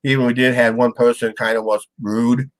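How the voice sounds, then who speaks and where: Stuffed-up male host from Iowa, halting rambler, mid-pitched voice. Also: flat delivery. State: stuffed-up nose. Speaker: show host. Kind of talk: casual live-stream chat.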